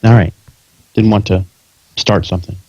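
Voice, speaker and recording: Male podcast host, American, middle-aged, lowish voice, informal chat, slightly muffled sound. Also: steady tone, normal speed, a little mellow, breathy edge, no room sound. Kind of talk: casual pre-show chat.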